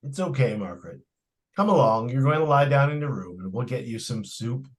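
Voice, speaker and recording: A man's voice: mid-range voice, expressive, fairly quick, natural voice. A man playing a patronizing, skeptical father in an old-time radio drama, with indulgent, slightly stammering delivery. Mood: concerned, firm. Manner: hesitant stammers, indulgent, dismissive. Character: patronizing, skeptical middle-aged father of a young girl. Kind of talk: 1940s radio drama acting.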